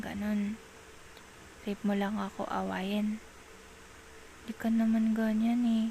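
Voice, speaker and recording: A woman with a Filipino accent playing a clingy, coaxing girlfriend in an intimate ASMR roleplay, pleading in soft baby talk. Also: on the high side, a little hiss or hum. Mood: mildly frustrated. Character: clingy, coaxing girlfriend soothing a jealous partner.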